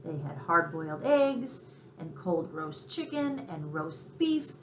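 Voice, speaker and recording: Woman voicing a polite, lively storybook cricket. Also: low voice, slow, roomy sound, a little hiss or hum, exaggerated voice. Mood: confessing. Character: polite, earnest male cricket recounting his adventure. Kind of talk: children's storybook reading.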